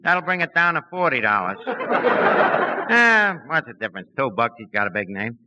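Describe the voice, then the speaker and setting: A man acting a brash, scheming tavern manager with rough wise-guy grammar in a 1940s radio comedy. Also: medium pitch, sing-song, normal speed, lo-fi sound, lightly acted. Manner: uneducated wise-guy talk, bad grammar. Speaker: comic lead in a radio sitcom. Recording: old 1940s radio recording, light noise.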